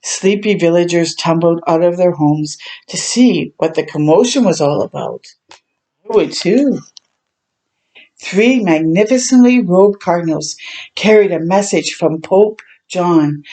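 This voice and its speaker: A woman reading a children's story aloud as a storytime host, adding gentle chatty reactions as she goes. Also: on the low side, measured pace, low-quality recording. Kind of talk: read-aloud narration with chatty asides.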